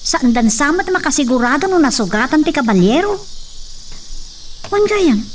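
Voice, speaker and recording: A woman's voice: sits high, sing-song, moderate pace. A woman with an Ilocano accent acting an anxious, questioning young daughter in a radio drama. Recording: distant mic, faint noise with insect sounds.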